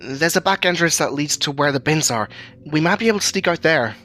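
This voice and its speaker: A man's voice: fairly high pitch, animated, a little fast, pushed and bright. A man voicing a determined, exasperated young woman who speaks urgently and scoldingly in an audio drama. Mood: thoughtful. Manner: urgent, scolding, sometimes breathless or hissing. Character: determined young woman, usually meek, now bold and exasperated.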